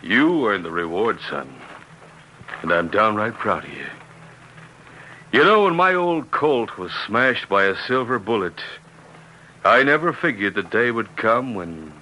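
A man's voice: fairly low pitch, very animated, a little slow, long pauses, pushed and bright, heavily acted, low-quality recording. A man playing a rancher father with an in a classic radio drama. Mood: warm, proud. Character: proud, worried rancher father in a western. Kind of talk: 1940s-50s radio acting. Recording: old radio recording, faint noise.

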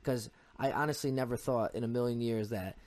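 A man's voice: medium pitch, steady tone, fairly quick, darkish tone, dry recording. A man in his twenties speaking as a podcast guest in a casual, slangy and earnest way, repeating short phrases for emphasis. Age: 20s. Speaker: podcast guest, amateur MMA fighter. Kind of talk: casual chat.

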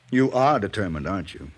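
A man's voice: fairly low pitch, husky. A man playing a haughty, suave, self-important showbiz boss in a classic radio drama, with precise, condescending speech. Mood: suave, evasive.

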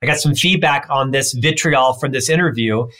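A man with a lifelong stutter, a leadership expert answering interview questions emphatically and rattling off points in quick lists. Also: mid-range voice, pushed and bright.

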